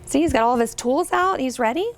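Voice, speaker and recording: A high-voiced American woman reads a picture book aloud to children. Her intonation is very lively, and she often turns to her young listeners with questions. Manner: expressive, pausing to ask listeners questions. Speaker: guest reader, company representative.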